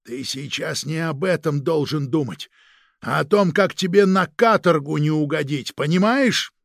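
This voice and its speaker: A male narrator acts out a domineering police chief in a crime audiobook. The voice sits fairly high, with a gravelly creak and a very dry, close sound. He interrogates with suspicion and hard authority. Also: unhurried, no echo. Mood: pressing. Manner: commanding, interrogating, barking orders. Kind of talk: dramatic audiobook character voice.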